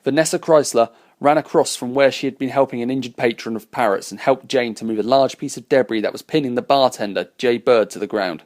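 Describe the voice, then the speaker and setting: A man reading a fan-written science fiction story aloud as its narrator, with an even, unhurried delivery. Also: mid-range voice, dry recording, studio quality. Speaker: reader and narrator.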